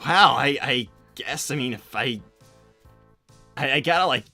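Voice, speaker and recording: A man's voice: medium pitch, expressive, a little slow, bright, forceful tone, dry recording, faint music behind, exaggerated voice. A man voicing a flustered, insecure young cartoon hedgehog hero, stammering and hesitant, in an improvised roleplay. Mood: hesitant, tentative. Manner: stammering, hesitant, self-deprecating. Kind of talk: improvised in-character livestream roleplay.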